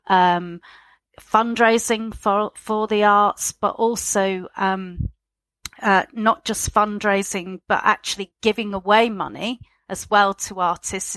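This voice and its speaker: A woman's voice: mid-range voice, a bit bright. A middle-aged woman answers an interview question about her career in the arts. She speaks reflectively, with plenty of ums and uhs as she gathers her thoughts. The recording is dry and close, with no room echo. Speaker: arts organisation chief executive.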